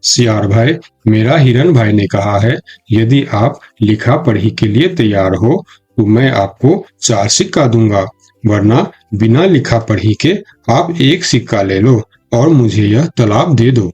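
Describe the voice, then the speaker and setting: A man's voice: lowish voice, expressive, a little slow, darkish tone, husky, highs cut off, faint music behind. A man voicing a gullible, good-natured donkey in a cartoon moral story, talking naively and earnestly.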